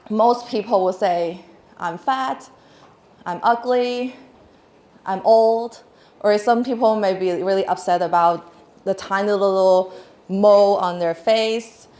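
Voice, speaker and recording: A woman's voice: mid-range voice, a little mellow. A woman in her thirties from Hong Kong, with a Hong Kong accent, giving a casual talk on stage with lots of fillers and restarts. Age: 30s. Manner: chatty, laughing, many fillers and restarts. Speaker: speaker. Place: large hall with audience. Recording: echoey hall.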